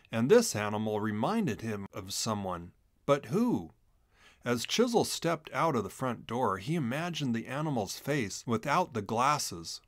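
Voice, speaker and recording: A man reading a children's storybook aloud in an expressive, dramatic narrating style. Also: mid-range voice, no echo, studio quality. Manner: animated, dramatic delivery. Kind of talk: expressive scripted narration for a read-along storybook.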